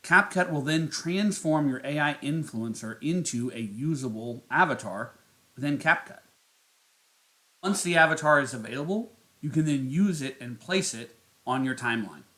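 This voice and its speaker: A man narrating a step-by-step software tutorial as its host. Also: a little high, lively intonation, moderate pace.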